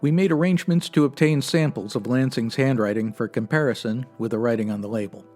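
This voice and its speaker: Male actor, by-the-book old-time radio detective, man, deadpan, clipped, formal, medium pitch, faint music. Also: a little fast, close to an everyday voice. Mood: matter-of-fact. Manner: deadpan, formal, matter-of-fact. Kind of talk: 1950s radio detective drama parody, clipped narration.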